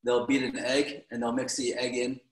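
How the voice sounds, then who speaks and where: Relaxed middle-aged man cooking, echoey call. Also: medium pitch, moderate pace. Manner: relaxed, explanatory, with restarts. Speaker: guest cook. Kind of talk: casual cooking demonstration. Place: home kitchen, indoors. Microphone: laptop video call.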